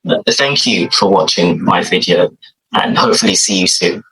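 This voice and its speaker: A man's voice: low voice, lively intonation, moderate pace, fairly bright tone, rough recording. A man with a London accent, an online English tutor, giving a scripted intro with friendly delivery and frequent fillers.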